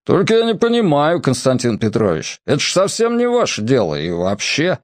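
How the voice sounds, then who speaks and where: A man voicing a gruff, domineering middle-aged police captain who talks sternly. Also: fairly high pitch, wildly swooping pitch, normal speed, no room sound, studio quality, pitched above the performer's own voice. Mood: exasperated.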